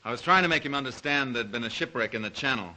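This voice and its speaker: An actor playing a plain-spoken hunter and shaken castaway, clipped and polite, in an old-fashioned drama. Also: male voice, mid-range voice, fast talker, sharp tone, rough recording. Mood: earnest.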